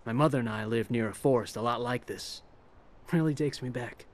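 Game hero, male actor as young man, earnest and easygoing, middle pitch, understated delivery. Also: a little fast, natural voice. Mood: wistful. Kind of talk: video game cutscene acting.

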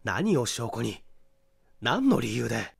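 A man voicing an irritable young man accused of a crime, protesting in hurried, clipped phrases in an audiobook mystery. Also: medium pitch, very animated, vocal fry, slightly rough, voice pushed down, full character voice. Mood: indignant disbelief. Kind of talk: dramatic audiobook mystery acting.

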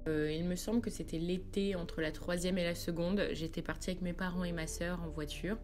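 A woman's voice: fairly low pitch, fairly even intonation, brisk. A woman from France narrating a travel vlog in an informal, confiding way, speaking directly to the viewer. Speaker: travel vlogger. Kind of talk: chatty voice-over narration of a road trip. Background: background music.

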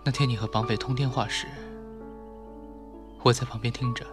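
A man acting a heartbroken, weary, gentle young man in a Mandarin audio drama, restrained and wistful. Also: medium pitch, fairly even intonation, moderate pace, natural voice. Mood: pained questioning. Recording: music behind the voice.

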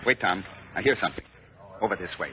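Man acting a rapid-fire space colonel, tinny old radio. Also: deep voice, lightly acted. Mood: hushed. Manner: clipped, commanding. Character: brisk, decisive space-guard intelligence colonel. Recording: tinny, noisy 1950s radio recording.

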